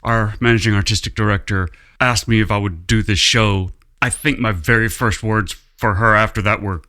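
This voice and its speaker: Low, bright-voiced man who laughs easily. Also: fairly even intonation, moderate pace. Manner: self-deprecating, laughs readily. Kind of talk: casual interview chat.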